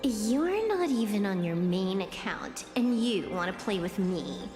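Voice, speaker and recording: An unhurried, sassy young hacker. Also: female voice, medium pitch, very animated, over music. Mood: irritated. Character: young female hacker, cool, sassy and impatient. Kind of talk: scripted video game acting.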